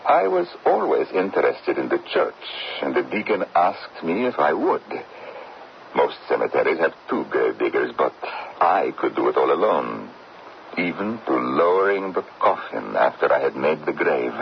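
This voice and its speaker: A brooding middle-aged gravedigger father, speaks in an extremely low, creaky voice. His guarded, ominous lines swing expressively in pitch, in the manner of old radio horror. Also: sing-song, moderate pace, heavily acted. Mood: matter-of-fact. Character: brooding, secretive gravedigger father, quiet and strange. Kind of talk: old-time radio drama acting. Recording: dull, faintly noisy old radio broadcast.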